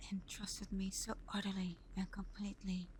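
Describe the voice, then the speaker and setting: A woman playing a tender, devoted vampire girlfriend in an ASMR roleplay, speaking intimately in a soft, breathy whisper with gentle pauses. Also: medium pitch, monotone, a little hiss or hum, lightly acted. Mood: confiding, earnest.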